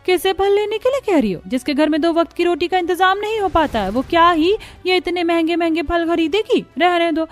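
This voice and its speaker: A woman's voice: very high voice, fairly quick, few pauses, faint music behind. A woman voicing an arrogant, sneering rich neighbour woman in a cartoon story. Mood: haughty taunting. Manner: haughty, sneering. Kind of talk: Hindi cartoon story voice acting.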